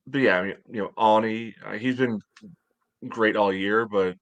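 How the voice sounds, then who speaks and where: A man in his thirties giving casual sports analysis in a measured, conversational way as a podcast co-host on a video call from home. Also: on the low side, steady tone, fairly bright tone, dull audio. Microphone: headset on video call.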